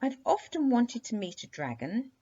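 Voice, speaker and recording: A woman's voice: a little high, huge pitch swings, moderate pace, warm tone, breathy edge, dull audio, voice pushed up, heavily acted. A woman voicing a polite, fearless little princess in a children's story, with a courteous, knowing manner. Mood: polite, sweet.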